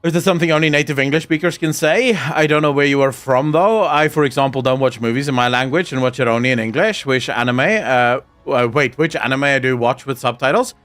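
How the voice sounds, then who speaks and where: A man's voice: mid-range voice, brisk, few pauses, fairly bright tone, faint music behind. A young man from South Africa with an Afrikaans accent, a native Afrikaans speaker, streaming live and chatting casually with his viewers. He rants and jokes and swears freely. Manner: profane, ranting and joking.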